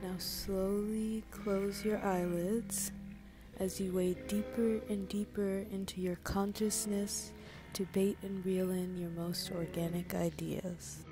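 Loud music surrounds a woman's soft, soothing voice. She guides a meditation with slow, deliberate pacing and a medium pitch. Her delivery is gentle and breathy, giving scripted instructions almost in a whisper. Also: little pitch movement. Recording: voice over prominent music bed.

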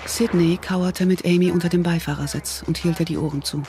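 A man's voice: on the high side, steady tone, brisk, noisy background. A man narrating a scripted German thriller audio drama. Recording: music behind the narration.

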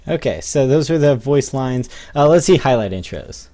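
A young man hosts a gaming video in a casual, conversational style. His voice sits at a middle pitch, and he comments off the cuff on what he is looking at in the game. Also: animated, moderate pace, dark tone.

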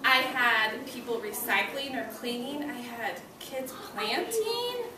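A woman's voice: fairly high pitch, lively intonation, takes it slowly, crowd noise. A young woman teaching small children in a classroom, encouraging them and giving simple, short instructions. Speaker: elementary school teacher. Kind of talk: classroom read-aloud lesson for young children. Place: school classroom, indoors. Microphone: far camera. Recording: echoey classroom, distant camera, loud room noise.

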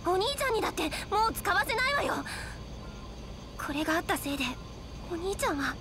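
Feisty young woman, very high voice. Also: sing-song, brisk, noisy background, heavily acted. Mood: protective, scolding. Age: young adult. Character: caring, feisty young woman, protective and scolding. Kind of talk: Japanese video game voice acting.

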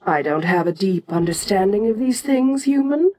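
A woman playing an ancient, imperious giant monster queen in an audio roleplay, speaking in a regal, deliberate and musing manner with frequent pauses. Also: medium pitch, animated, warm tone, close to an everyday voice. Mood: matter-of-fact, commanding. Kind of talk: slow in-character audio roleplay monologue.

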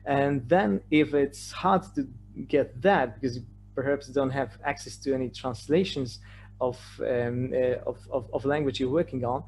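Male presenter, native Polish with Polish accent, mid-pitched, halting lecture delivery, slightly noisy online recording with hum. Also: darkish tone. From Poland. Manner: hesitant, repeats words and stammers over phrase starts. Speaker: conference presenter. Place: remote online presentation with shared screen.

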